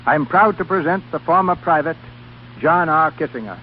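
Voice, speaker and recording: A man's voice: on the high side, lively intonation, normal speed. A man hosting in a formal, dramatic 1930s radio style, declaiming scripted narration. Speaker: radio show host. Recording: dull, noisy vintage radio broadcast.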